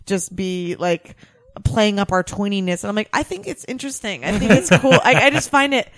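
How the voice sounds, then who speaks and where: A woman's voice: medium pitch, animated, fairly quick, dry recording. A young woman comedian, a guest on a podcast, chatting casually with many restarts and "like"s and frequent laughs. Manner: rambling, full of restarts and "like", laughs often.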